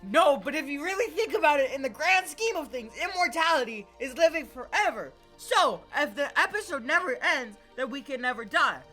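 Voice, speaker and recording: A woman playing an excitable, scatterbrained character in a comic audio drama, rambling dramatically. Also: sits high, moderate pace, hardly any pauses, faint music behind, heavily acted. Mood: manic triumph. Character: excitable, scatterbrained female podcast character ranting about immortality.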